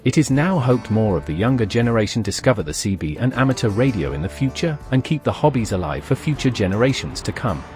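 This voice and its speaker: A man reading scripted documentary narration in a measured, explanatory way. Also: fairly low pitch, sing-song, over music.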